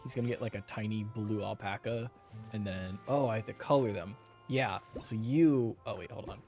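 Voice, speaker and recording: A man's voice: fairly low pitch, moderate pace, a little mellow. A man doing casual, joking video game commentary, teasing the characters as he plays. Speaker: streamer playing a video game. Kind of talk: casual game commentary, reading dialogue aloud. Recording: faint game music behind. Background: game music.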